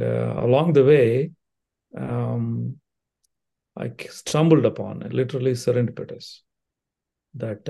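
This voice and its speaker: Older Indian-accented man, gravelly and mellow. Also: medium pitch. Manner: measured, with frequent uh fillers and restarts. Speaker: cardiothoracic surgeon, guest. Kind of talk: interview answers, explanatory.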